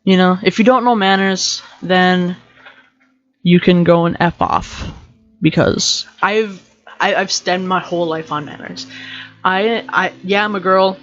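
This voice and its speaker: Deep-voiced young woman ranting casually. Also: fairly even intonation, a little slow, muffled recording, faint music behind. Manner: rambling, joking, self-interrupting. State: slightly sick with allergies. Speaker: podcast host. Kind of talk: casual motivational rant.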